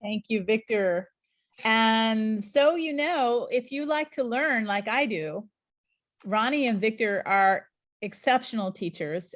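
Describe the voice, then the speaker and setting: A woman's voice: mid-range voice, no echo, studio quality. A middle-aged woman and scuba instructor trainer, giving an encouraging, chatty introduction to an online class over a video call.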